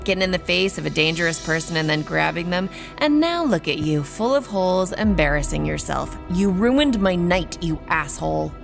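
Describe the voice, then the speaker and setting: A surly young female vampire with a lowish voice full of fry. She is sarcastic and blunt, an audio drama character with clear music underneath. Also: lively intonation, moderate pace, hardly any pauses. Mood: scornful, angry. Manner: sardonic, blunt, irritable. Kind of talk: audio drama acting with first-person narration.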